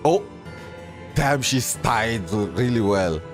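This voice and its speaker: A man's voice: medium pitch, animated, slow pace. A man doing casual, hesitant gaming commentary as a streamer, thinking aloud informally. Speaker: streamer reacting to game content. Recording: music playing behind the voice.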